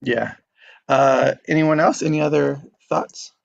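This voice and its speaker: A man's voice: a little high, steady tone, measured pace, vocal fry, lo-fi sound. A man hosting an online script reading group, chatting casually.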